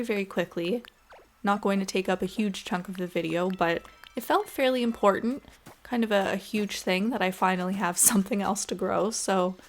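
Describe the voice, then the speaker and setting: A woman giving casual, chatty and expressive voice-over commentary as she plays a video game. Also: mid-range voice. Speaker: gaming video creator. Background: background music.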